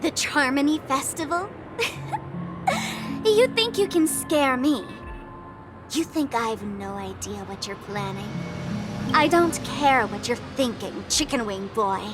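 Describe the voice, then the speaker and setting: Slow-drawling, mocking young trickster woman. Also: high-pitched, animated. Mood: taunting. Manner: mocking, drawling, playful, dramatic. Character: taunting, theatrical young woman trickster, mischievous troublemaker. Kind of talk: video game voice acting. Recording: loud music behind the voice.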